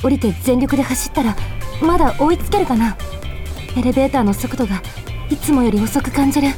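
A woman's voice: sits high, over music, natural voice. A woman acting in a radio drama as a flustered, headstrong office worker in her twenties who is secretly in love, with emotive delivery. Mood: urgent inner monologue. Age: young adult. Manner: animated, emotive, quick to protest. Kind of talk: Japanese radio drama acting with inner monologue.

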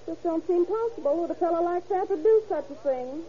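A gossipy homespun landlady in an old radio play, talking in a high but dark, mellow voice. The sound is dull and lo-fi with a faint hiss. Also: brisk. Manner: folksy, plain-spoken, excitable. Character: chatty homely woman landlady. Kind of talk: 1930s radio drama acting.

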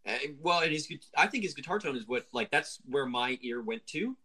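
Bright-toned man, co-host who chimes in briefly and teases. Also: medium pitch, expressive, fairly quick, slightly rough. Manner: short reactions, teasing. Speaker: podcast co-host. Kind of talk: casual music chat.

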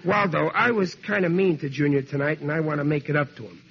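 An actor playing a blustery, fretful middle-aged working-class father in a 1940s radio comedy, with excitable, ungrammatical, stammering speech. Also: a little high, fast, gravelly, husky. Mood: sheepish, fond. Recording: dull old radio recording with noise.

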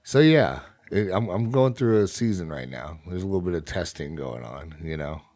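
A man's voice: low-pitched, expressive, moderate pace, darkish tone, no room sound. A young man co-hosting a casual podcast while sick, congested and sniffly, breathing heavily. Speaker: podcast co-host. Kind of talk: casual chat.

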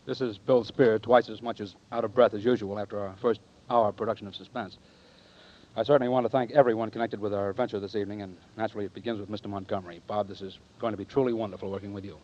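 Fast-talking man on old radio. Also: on the low side, steady tone, a little mellow. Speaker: radio producer-director thanking his cast. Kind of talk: 1940s radio address. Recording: dull 1940s radio recording.

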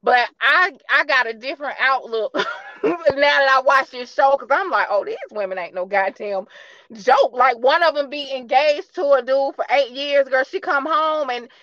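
A woman's voice: high voice, normal speed, pushed and bright, no echo, muffled recording. An American woman hosting a podcast, talking in a casual, slangy, emphatic storytelling way. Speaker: podcast host. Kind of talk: casual animated chat and interviewing.

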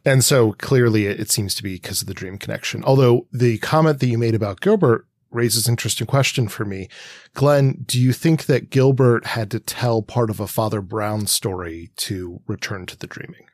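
A man's voice: fairly low pitch, moderate pace, dry recording. A man co-hosting a conversational literary discussion, posing long-winded, analytical questions. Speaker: podcast co-host.

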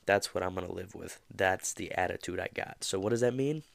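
A man's voice: deep voice, fairly quick, gravelly, no echo. A man hosting a motivational podcast, talking in a casual, rambling way with many fillers. He is a little nasal from a slight cold. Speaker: podcast host.

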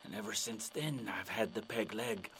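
A man's voice: lowish voice, normal speed, fairly bright tone, breathy edge, voice pushed down. A man voicing an old male pirate with a peg leg and a hook, who growls "arr" and says "me" for "my" while telling his tales. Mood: yarn-spinning. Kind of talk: joke telling in a radio drama.

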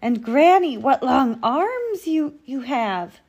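Woman doing a little girl, storybook heroine, high-pitched, slow, innocent, sweet, wide-eyed, polite. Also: sing-song, a little mellow, slightly rough, highs cut off, heavily acted. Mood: puzzled, wondering.

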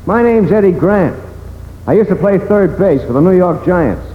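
An actor playing the solemn, insistent ghost of a ballplayer killed at war, declaiming in 1940s radio style with ghostly reverb. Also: male voice, sits high, animated, moderate pace. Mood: solemn, challenging. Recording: dull vintage radio, echoey reverb, noisy.

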